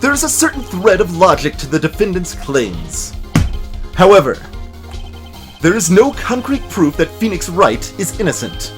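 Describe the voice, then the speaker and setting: A man puts on a haughty male prosecutor for a video game. He is formal, pompous and smug, with animated pitch in a lightly high voice and music playing behind. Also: normal speed. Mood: smug, objecting.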